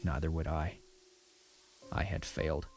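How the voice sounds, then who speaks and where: Guy playing a remorseful pony telling his sad story, deep voice, bit of music behind. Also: fairly even intonation, measured pace, darkish tone. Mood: grave, despairing. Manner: grave, sorrowful, confessional. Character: guilt-ridden adult pony factory worker, ashamed, resigned. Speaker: first-person narrator. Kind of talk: dramatic scripted narration.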